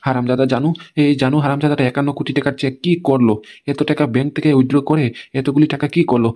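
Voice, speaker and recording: An aggrieved husband and boss from a comic cartoon , acted by a man, explains himself in a mellow voice at breakneck speed. He is emphatic and scheming, forever checking that he is being understood. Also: on the high side, natural voice. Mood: indignant.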